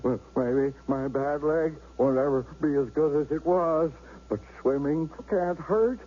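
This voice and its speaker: A man acting a husband who is regaining the use of his arm in a 1970s radio drama, talking haltingly and stammering. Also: on the high side, husky. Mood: grateful. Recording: dull vintage radio recording with faint hiss.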